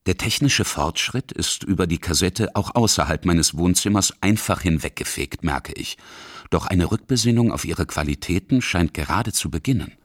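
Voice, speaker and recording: A man's voice: deep voice, expressive, moderate pace, no room sound. A middle-aged man narrating a scripted radio feature as its author.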